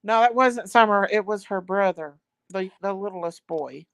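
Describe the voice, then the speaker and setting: A woman's voice: mid-range voice, normal speed, dry recording. Woman hosting a livestream. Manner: reads viewer comments aloud, greets viewers by name. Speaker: livestream host. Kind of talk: casual livestream chat reading comments.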